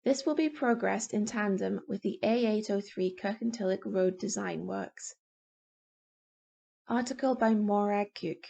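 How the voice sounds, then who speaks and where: Woman, scripted news reading, raspy tone, frequent vocal fry, measured, formal. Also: mid-range voice, mellow. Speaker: volunteer newspaper reader. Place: home.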